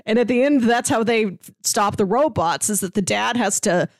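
A woman's voice: a little high, moderate pace, dry recording. Woman in dry, close sound, chatting casually. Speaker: podcast co-host. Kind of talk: casual film discussion.

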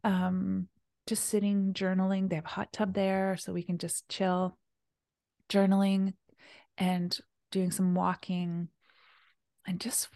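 Female retreat host, casual and enthusiastic, gravelly voice, drifts into soft near-whispers. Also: medium pitch, completely flat delivery, takes it slowly, pauses often, no room sound, clean studio sound. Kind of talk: casual conversation.

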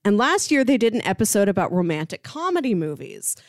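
A woman's voice: medium pitch, sing-song, fairly quick, dry recording, clean studio sound. A woman co-hosting a podcast, chatting casually about film in a conversational, storytelling way. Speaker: podcast co-host.

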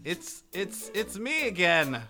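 A man acts out a terrified weather pilot in an improvised radio comedy bit. The voice is bright and a little high, halting and drawn out with mock gravity, over clear music. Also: big pitch swings, full character voice. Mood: dazed, philosophical. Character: terrified helicopter weather reporter pilot, philosophizing into the abyss.

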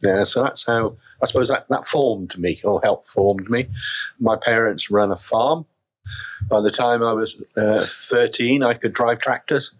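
A middle-aged man from South Wales with a faint, mostly neutral Welsh accent, speaking as a chief executive in a reflective interview, measured and full of restarts. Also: fairly low pitch, low-quality recording. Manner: measured, with frequent restarts and fillers.

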